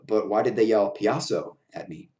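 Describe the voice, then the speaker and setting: A man's voice: lowish voice, normal speed, darkish tone, natural voice. A man voicing a gloomy, worried hobo clown who sounds downcast and doubting, in a storybook reading. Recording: roomy echo of a bare room.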